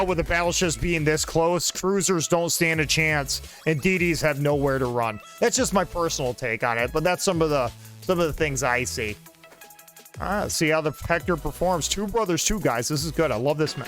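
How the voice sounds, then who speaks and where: A fairly high-pitched man in his forties talks through a match in a loose, rambling way, now and then joking with a friend. Soft game music plays faintly behind him. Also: animated, moderate pace. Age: 40s. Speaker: gaming channel host. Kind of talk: casual gameplay commentary.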